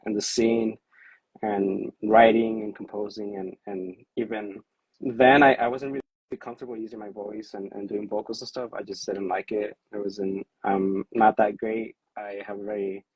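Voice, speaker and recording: Husky, hesitant man on a video call. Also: lowish voice, moderate pace, no room sound, highs cut off. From California. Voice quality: self-described monotone. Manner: hesitant, many fillers, self-described awkward and anxious. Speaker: musician on a livestream chat. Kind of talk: casual livestream chat.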